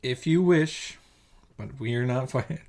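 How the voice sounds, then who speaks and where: A man's voice: medium pitch, a little slow, darkish tone. A man hosting a game reaction, reading visual novel text aloud and adding informal, sweary commentary. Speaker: video game reaction host. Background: game music.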